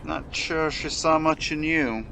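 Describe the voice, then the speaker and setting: Unhurried, sardonic police detective played by a man. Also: a little high, gravelly, pushed and bright, exaggerated voice. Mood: sardonic, probing. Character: dry, dogged male police detective. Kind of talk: amateur audio play acting. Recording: faintly noisy home-device recording.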